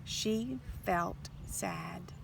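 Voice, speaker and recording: A woman reading a children's storybook aloud in an expressive, deliberate narration. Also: on the low side, vocal fry. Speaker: storybook reader. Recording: faint background noise.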